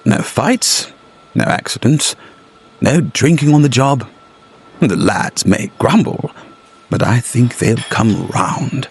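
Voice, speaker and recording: A man's voice: mid-range voice, expressive, slow, husky, pitched above the performer's own voice, full character voice. A man playing a dutiful, eerily compliant Victorian factory foreman in a gothic audio drama, blandly reassuring. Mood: smooth, reassuring.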